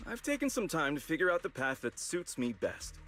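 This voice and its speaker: A man voicing an affable, composed young investigator in a video game, with an easygoing, smooth-talking manner. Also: a little high, very animated, fairly quick, a bit bright. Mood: friendly, composed. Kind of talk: English video game voice acting.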